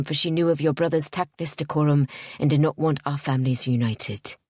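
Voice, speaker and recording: A woman voicing a pompous, obsessive gentleman suitor in a storybook reading, speaking in a haughty, clipped way. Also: on the high side, dry recording, studio quality, natural voice.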